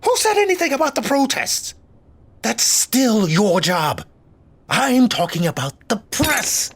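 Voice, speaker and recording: An actor playing an irritable, scheming, sarcastic mayor in an audio drama, with theatrical emphasis and a husky voice. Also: male voice, very high-pitched, big pitch swings, a little slow, fairly bright tone, heavily acted. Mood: irritated. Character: irritable, scheming big-city mayor, self-serving and sarcastic.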